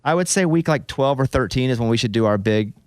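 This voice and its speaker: A man's voice: medium pitch, animated, darkish tone, clear, resonant tone, dry recording, studio quality. A man hosting a football podcast, chatting and joking with his co-hosts about their bets. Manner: fast, joking banter about betting. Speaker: podcast host. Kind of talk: casual sports podcast chat.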